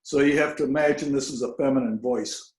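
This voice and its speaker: A man, an author, speaking informally and haltingly to an online reading over a video call. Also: medium pitch, steady tone. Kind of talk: informal spoken introduction and reading of own fiction. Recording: roomy, echoing video call audio.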